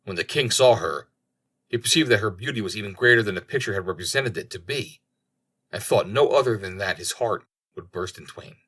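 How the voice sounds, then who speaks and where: Low, husky male storyteller. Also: expressive, fairly quick, fairly bright tone. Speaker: audiobook narrator. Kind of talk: scripted storybook narration.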